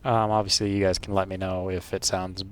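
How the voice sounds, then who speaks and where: A man's voice: low-pitched, flat delivery, slight background noise. A man hosting a let's play, casually commenting on his game in a hesitant, rambling way full of ums and uhs, recorded on a wired mic at a home gaming setup. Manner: hesitant, filler-heavy, unhurried rambling. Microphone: wired microphone.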